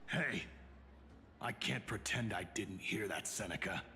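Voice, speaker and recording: A man's voice: on the low side, expressive, a little slow, sharp tone. A man voicing a formal, proud and combative loyal soldier in a video game. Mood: challenging.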